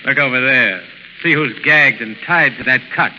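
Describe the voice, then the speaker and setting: An actor playing a ruthless, greedy gang boss in a theatrical 1930s radio style, sneering and commanding. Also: male voice, medium pitch, moderate pace, sharp tone. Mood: sneering, scornful. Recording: muffled, hissy, reverberant 1930s radio recording.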